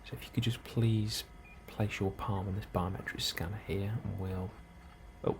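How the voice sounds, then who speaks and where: A man in a soft, hushed ASMR role-play, speaking calmly and unhurriedly as a helpful colony immigration officer, close to the microphone. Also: fairly low pitch. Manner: hushed, unhurried, sometimes dropping into whisper. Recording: clearly audible background ambience noise.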